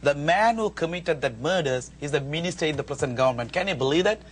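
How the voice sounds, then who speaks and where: A man's voice: fairly high pitch, lively intonation, fast, a little hiss or hum. A middle-aged man with a Sri Lankan Tamil accent, challenging others in a heated studio debate. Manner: challenging, forceful questions. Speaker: spokesperson, panel guest. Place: TV studio round table. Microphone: lapel.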